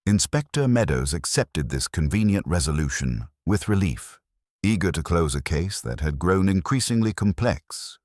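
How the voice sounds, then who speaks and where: A man reading scripted murder mystery narration in a formal, measured storytelling manner. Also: deep voice, darkish tone, no room sound, studio quality. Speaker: audiobook narrator.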